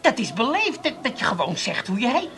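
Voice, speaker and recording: An actress playing a down-to-earth, bossy sister with dry, teasing sarcasm, in a comic radio play. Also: female voice, a little high, very animated, brisk, a bit bright, soft background music. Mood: bossy, exasperated.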